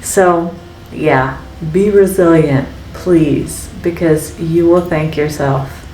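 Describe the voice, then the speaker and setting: A woman's voice: low-pitched, slow, mellow, distant mic, slight background noise. Chatty woman painter in echoey studio. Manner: chatty, reflective, rambling with fillers and tag questions. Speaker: artist and channel host. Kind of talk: casual painting vlog talk. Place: art studio, painting while talking. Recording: echoey room, voice off-mic.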